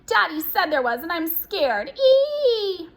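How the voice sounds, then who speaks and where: A woman voicing a childish, quarrelsome mother in a children's story, shrill and whiny. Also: very animated, slow, fairly bright tone, full character voice. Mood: squealing panic.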